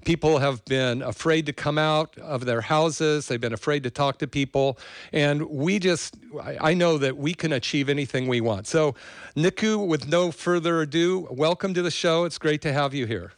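A man's voice: a little high, normal speed, no echo. A man hosting a podcast interview, introducing his guest in a loose, rambling way with fillers. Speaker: podcast host.

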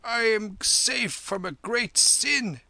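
A man's voice: high voice, animated, slow, vocal fry, pushed and bright, no room sound, pitched above the performer's own voice, heavily acted. A man voicing a hillman escort in a storybook as a fawning, stammering servant. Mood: frightened. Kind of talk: storybook character voice.

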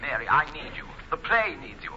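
A man's voice: a little high, big pitch swings, brisk, sharp tone, exaggerated voice. A man playing an exasperated theatre producer, spluttering and insistent, in melodramatic old radio acting. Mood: pleading. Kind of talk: 1940s radio play acting. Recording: echoing, dull, noisy old radio recording.

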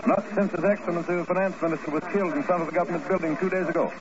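A man's voice: high-pitched, fairly even intonation, rapid-fire, natural voice. A man playing a deferential military police captain in a 1950s radio drama, formal and clipped. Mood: formal, brisk. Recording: noisy dull old radio recording.

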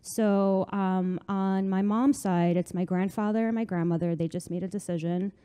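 A young woman from New Jersey, talking casually as a guest in an interview, chatty and quick to laugh. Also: medium pitch, steady tone, dark tone, clean tone. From New Jersey, USA. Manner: chatty, laughs often, many fillers. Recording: long room reverb.

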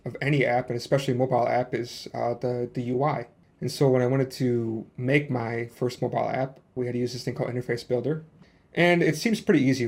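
A man's voice: medium pitch, a little mellow. Rambling Chicago man hosting a podcast. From Chicago, USA. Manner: rambling, with many fillers and restarts. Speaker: podcast host. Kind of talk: casual solo podcast monologue.

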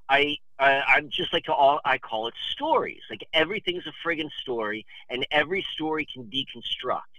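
A man in his fifties with a fairly high, bright voice and very animated pitch. He tells rambling stories as a podcast guest, excitable and emphatic, often wandering off on digressions. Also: dry recording, rough recording. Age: 50s. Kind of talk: animated rambling storytelling and casual chat.

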